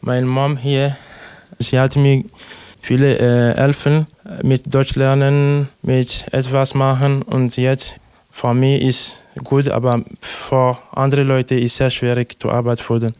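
A man's voice: mid-range voice, little pitch movement, slow, a little mellow, clear, resonant tone, no echo. A young man from Togo answering interview questions in hesitant, simple sentences with many fillers. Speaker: interviewee, refugee. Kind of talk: halting interview answers in learner German.

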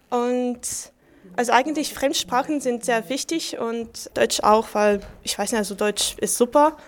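Rambling, higher-voiced student. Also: female voice. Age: young adult. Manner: rambling, many "also" fillers. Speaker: language student. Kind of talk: casual self-introduction.

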